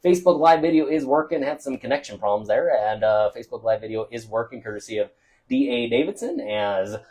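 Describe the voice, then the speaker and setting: A man who is a local radio sports broadcaster, loudly giving a chatty postgame wrap-up of scores and stats with many uh fillers, from a press box above a football field. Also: mid-range voice, brisk, low-quality recording.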